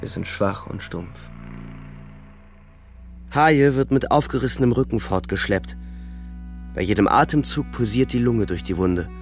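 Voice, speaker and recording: An actor playing a weary young German frontline soldier, narrating the war in a grim, terse literary radio-drama style. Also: male voice, fairly low pitch, lively intonation, unhurried, creaky, long pauses, soft background music.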